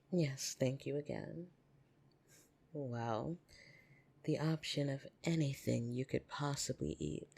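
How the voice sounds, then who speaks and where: Casting: performer to play a seductive, possessive vampire for ASMR roleplay; gravelly, husky, intimate delivery that slips into whispers. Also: medium pitch, full character voice. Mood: playful, flirtatious. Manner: teasing, soft-spoken, often dropping into breathy whispers. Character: flirtatious, possessive upper-class vampire on a dinner date. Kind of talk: ASMR roleplay, intimate second-person monologue.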